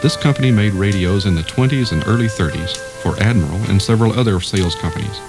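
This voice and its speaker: A man's voice: lowish voice, quick pace. A man reading scripted documentary narration in the role of narrator. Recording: music behind the voice. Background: instrumental music.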